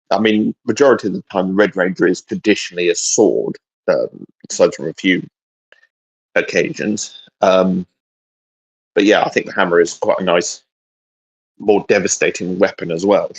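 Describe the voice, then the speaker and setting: A man's voice: deep voice, husky. A man co-hosting a casual fan discussion, speaking hesitantly as he searches for his words. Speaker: co-host. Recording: dull, lo-fi sound.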